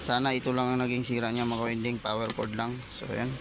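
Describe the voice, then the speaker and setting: Loud traffic-like ambient noise surrounds a man narrating a hands-on repair. His delivery is flat and halting, with frequent repetition. He speaks casually to his viewers at a middle pitch. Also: creaky. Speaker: electronics repair vlogger.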